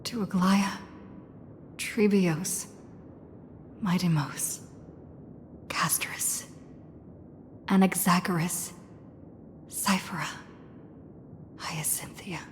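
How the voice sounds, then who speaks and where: A woman voicing a grave, reverent fantasy game character in a hushed, theatrical manner. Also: fairly low pitch, fairly even intonation. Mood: hushed, solemn. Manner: hushed, slow, breathy whispering. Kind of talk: theatrical scripted game voice acting. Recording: long hall reverb, faint music.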